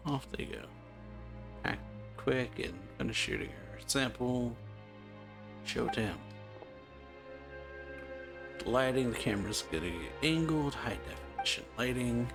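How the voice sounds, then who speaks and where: A man doing a casual let's play, reading game dialogue aloud in a halting, offhand way. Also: medium pitch, creaky. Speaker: let's player. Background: game music.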